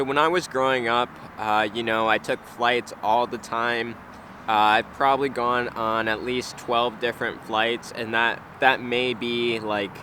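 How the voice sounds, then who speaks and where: A man's voice: medium pitch, bright, forceful tone, slight background noise. A young man of about nineteen, chatting casually and unscripted as a vlogger, rambling and hesitant with plenty of slang. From United States (Wisconsin). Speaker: travel vlogger. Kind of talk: casual unscripted vlog chat.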